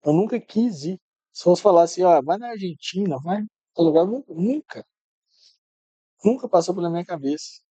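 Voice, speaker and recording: A middle-aged man tells family stories in a relaxed, rambling way. His voice is mellow and a little high, and he often repeats words as he restarts. The sound is dry and close. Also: a little fast, no echo. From Minas Gerais, Brazil. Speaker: podcast guest. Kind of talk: casual interview chat.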